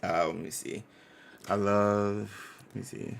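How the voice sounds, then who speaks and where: A man's voice: fairly low pitch, flat delivery, takes it slowly, creaky. A man co-hosting a casual live reaction stream, enthusiastic and slangy, often repeating himself for emphasis.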